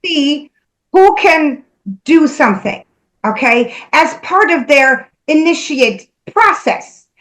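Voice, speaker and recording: Earnest, slightly high-voiced woman medium. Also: expressive, slow, fairly bright tone, low-quality recording. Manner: earnest, relaying messages she says she hears. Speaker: spiritual medium guest. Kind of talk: casual conversation.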